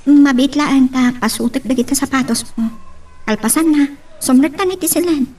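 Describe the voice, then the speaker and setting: A woman's voice: high voice, normal speed, darkish tone, recorded from a distance, natural voice. A woman playing a sweet, troubled young woman in love, tender and affectionate, in an Ilocano radio drama. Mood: caring.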